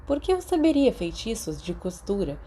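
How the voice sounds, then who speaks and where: A woman as a carefree, mellow teenage wizard boy. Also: high-pitched, huge pitch swings, normal speed, slight background noise. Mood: breezy. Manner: breezy, casual, dismissive of worries. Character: teenage wizard boy, carefree, dreamy, mischievous best friend.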